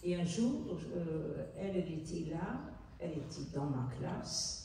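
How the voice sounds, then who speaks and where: A woman's voice: low voice, animated, vocal fry, mellow. Older woman in an echoey hall. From Netherlands. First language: Dutch. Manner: halting, searching for words, earnest. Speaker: author and guest. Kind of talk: public book talk. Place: large hall at a city hall book fair. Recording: echoey hall from far off, faint noise.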